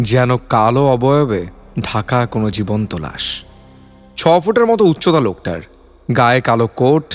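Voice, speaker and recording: A man narrating a scripted detective story in a dramatic, suspenseful storytelling style. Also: medium pitch.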